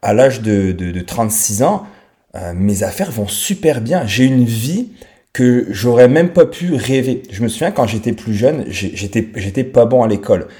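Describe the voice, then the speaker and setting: A man's voice: medium pitch, animated, normal speed, dark tone. A man in his thirties with a French accent, talking straight to the listener in an informal, unscripted podcast monologue. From France. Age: 30s. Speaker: podcast host.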